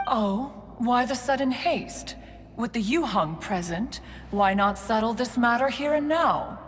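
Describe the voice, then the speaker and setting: A woman's voice: fairly high pitch, animated, measured pace. A woman playing a stately, doting and self-important immortal mountain guardian in a fantasy game, with formal archaic diction. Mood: teasing. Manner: formal archaic diction, refers to herself as "one". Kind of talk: scripted fantasy game dialogue. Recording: long hall reverb, music underneath.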